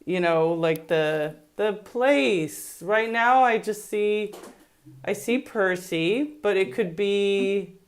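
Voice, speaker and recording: A woman conducting an interview over a video call, talking deliberately and giving directions. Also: medium pitch, clean tone. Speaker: remote interviewer.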